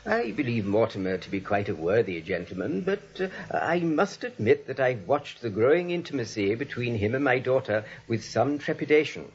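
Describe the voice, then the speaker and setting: A man's voice: medium pitch, animated, moderate pace, few pauses, lightly acted. An actor playing a mild, proper clergyman and father in a comic radio play, speaking formally with courteous hesitation. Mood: grave, disapproving. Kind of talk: radio play comedy acting. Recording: dull lo-fi radio broadcast.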